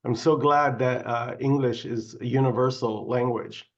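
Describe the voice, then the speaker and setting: A man's voice: medium pitch, fairly even intonation, normal speed, muffled recording. A man in a casual group chat who jokes around and laughs often.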